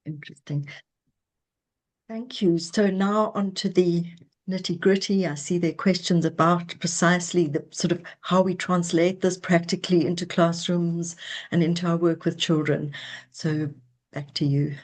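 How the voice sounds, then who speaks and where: Woman hosting a webinar, lowish voice. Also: steady tone, normal speed. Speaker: webinar host. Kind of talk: moderating a webinar.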